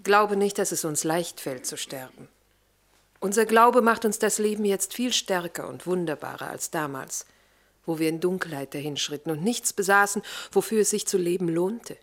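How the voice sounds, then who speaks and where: A woman's voice: fairly low pitch, animated, normal speed. Spirited, devout captive woman. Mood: earnest. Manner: earnest, questioning. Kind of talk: radio drama acting.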